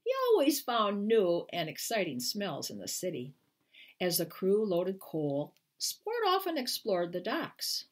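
Husky older woman reading a storybook aloud. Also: medium pitch. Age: 60s or older. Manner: deliberate, expressive reading with brief explanatory asides. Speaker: children's book author reading aloud. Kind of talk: storytime read-aloud to camera. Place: small living room at home, indoors.

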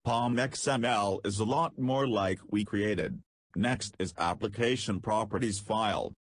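Low husky man narrating a coding demo. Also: measured pace, no room sound. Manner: terse, step-by-step instructions with non-native phrasing. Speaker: programming tutor. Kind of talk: screen-recorded coding tutorial narration.

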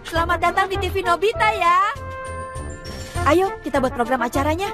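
An excitable young schoolboy in a cartoon dub. The voice is extremely high, whiny and exclamatory, swinging into boasts and fluster. Music plays strongly behind it. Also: female voice, normal speed. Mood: eager. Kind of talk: animated cartoon dub acting.

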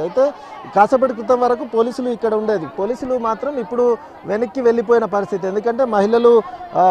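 A man reporting live outdoors from a road protest, speaking into a handheld mic with frequent hesitation sounds. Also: sits high. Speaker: field reporter.

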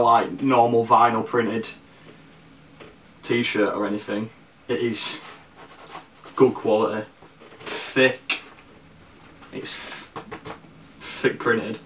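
Slow-paced young Leeds man, echoey room. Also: medium pitch, a bit bright. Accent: Leeds, Northern English. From Leeds, northern England. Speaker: fashion vlogger. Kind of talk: casual product review to camera. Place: small bare room indoors. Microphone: camera mic at a distance. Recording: echoey room, distant mic, background hiss.

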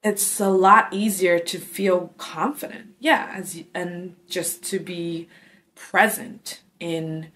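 A woman in her twenties from Taiwan, a dancer, speaking softly and thoughtfully in an interview with some halting pauses. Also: on the low side, steady tone. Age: 20s. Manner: soft-spoken, thoughtful, halting. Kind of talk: interview testimony. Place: dark studio indoors.

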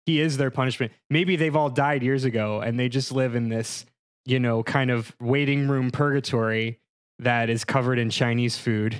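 A man's voice: medium pitch, steady tone, normal speed, smooth. Male podcast host, middle register, casual and jokey conversational delivery. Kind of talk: casual comic banter.

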